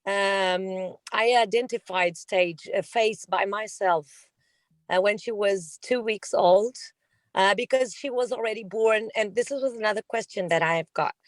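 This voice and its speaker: A woman's voice: medium pitch, measured pace, no echo. A woman from Portugal with a Portuguese accent, a parent asking a question on a video call and searching for her words.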